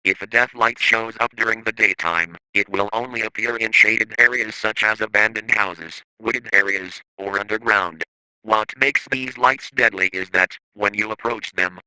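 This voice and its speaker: A man delivers scripted analog horror narration with a very bright, forceful edge. His voice is crisp and clear, at a medium pitch. The delivery is formal and matter-of-fact, as in an instructional briefing. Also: dry recording, rough recording.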